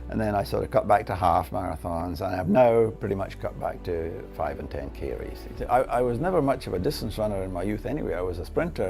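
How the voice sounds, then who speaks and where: A man's voice: sits low, vocal fry. An elderly man of eighty-one, chatting casually and wryly about himself. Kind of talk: casual chat, personal testimonial.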